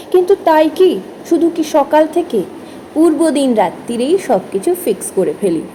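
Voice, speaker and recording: A woman's voice: a little high, mellow, a little hiss or hum, rough recording. A woman giving an informal reflective monologue, chatting expressively and addressing listeners directly with rhetorical questions. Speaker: spoken-word content creator.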